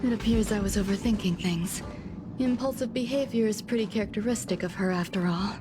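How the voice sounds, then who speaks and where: An actress playing a reserved, polite young woman scientist, speaking in a measured, formal way in scripted game dialogue. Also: medium pitch, lightly acted. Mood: composed, polite. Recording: game music behind the voice.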